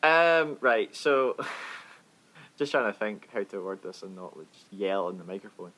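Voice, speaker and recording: A man's voice: fairly low pitch, animated, a little slow, fairly bright tone, poor audio. Man in casual podcast chat. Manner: opinionated, explanatory. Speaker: podcast co-host. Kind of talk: casual film review chat.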